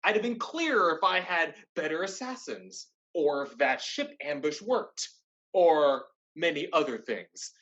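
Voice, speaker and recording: A woman's voice: low-pitched, muffled recording, heavily acted. A woman playing an arrogant, scheming male pirate lord in a staged play reading, smug and theatrical.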